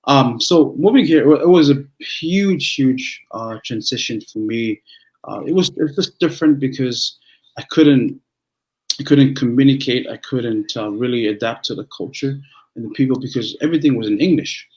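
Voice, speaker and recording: A young man from Tonga, whose first language is Tongan, speaking as a pastor in a relaxed interview with frequent restarts and fillers. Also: medium pitch, rough recording. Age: young adult. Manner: halting, many restarts and fillers. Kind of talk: conversational interview.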